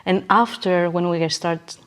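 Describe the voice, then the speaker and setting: Low-pitched, reflective woman. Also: fairly even intonation, measured pace. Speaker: podcast guest. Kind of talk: reflective casual conversation.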